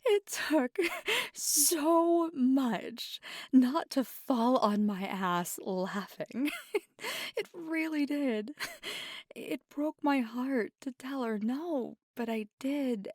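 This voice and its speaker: A woman plays a playful, affectionate witch girlfriend in an ASMR audio roleplay. Her voice is soft and breathy with a gravelly fry, often dropping toward a whisper. She takes her time as she confides in the listener, and the sound is dry and intimate. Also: sits high, slow, hardly any pauses, no echo, full character voice. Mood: amused, giggly.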